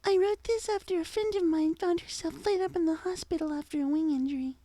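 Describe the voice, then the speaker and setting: Woman playing a very high, gentle girlish pony. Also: fairly quick, dry recording. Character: shy, gentle female pony reciting beat poetry. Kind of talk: fan fiction audio drama reading.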